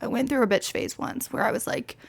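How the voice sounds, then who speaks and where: A woman's voice: on the high side, fairly quick, creaky. A woman in her twenties, slightly tipsy, rambling candidly through a casual solo podcast with lots of fillers and swearing. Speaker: podcast host.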